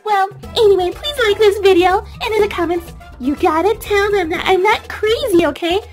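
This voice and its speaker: A woman's voice: very high voice, moderate pace, faint music behind. A woman voicing a vain, cheerful young woman doll in a children's toy story, perky and chatty. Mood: flustered, pleading.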